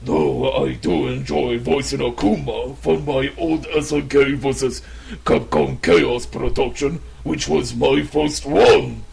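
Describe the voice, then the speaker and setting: A man voicing a menacing game villain in a growling, theatrical, exaggerated and slow manner. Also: medium pitch, lively intonation, a little mellow, heavily acted. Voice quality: growling, gravelly.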